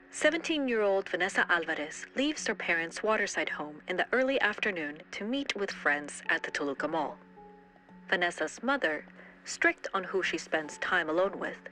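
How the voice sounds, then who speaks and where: A woman playing a television reporter who narrates a missing-person report in a crisp broadcast delivery. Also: lowish voice, bright, forceful tone, poor audio.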